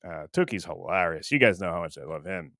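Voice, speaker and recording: A man's voice: low-pitched, animated, brisk, a bit bright, no room sound, studio quality. A man hosting a livestream in casual chat, reading out comments and rambling in a self-deprecating way. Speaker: livestream host.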